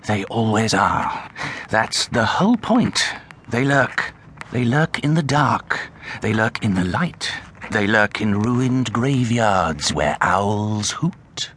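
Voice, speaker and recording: A fussy, bookish British angel, swooping intonation. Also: male voice, lowish voice, lively intonation, slow, fairly bright tone, a little hiss or hum. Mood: ominous. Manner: pedantic, hesitant, wry lecturing delivery. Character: bookish, fussy, donnish angel and part-time book dealer. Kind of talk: radio drama narration.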